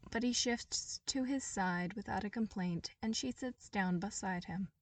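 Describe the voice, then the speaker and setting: A woman reading a story aloud as an amateur audiobook narrator, in an expressive style. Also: medium pitch, a little slow, no echo, recorded from a distance.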